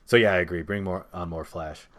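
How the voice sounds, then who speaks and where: A man's voice: deep voice, steady tone, brisk, a bit bright. A man hosting a casual movie podcast, opinionated and jokey as he steers the discussion. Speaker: podcast host.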